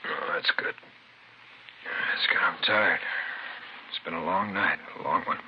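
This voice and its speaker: A man with an American accent playing a weary, aggrieved killer confessing to police in a halting mumble, in the style of 1950s radio crime drama. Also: deep voice, in a whisper. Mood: exhausted. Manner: halting, mumbling, self-justifying. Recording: muffled, hissy vintage radio broadcast recording.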